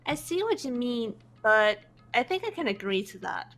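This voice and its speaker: A woman voicing a game character in a fan dub, steady and matter-of-fact. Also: a little high, normal speed. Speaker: player voicing several characters. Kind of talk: fan voice acting of a game script. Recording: faint music behind. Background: faint game music.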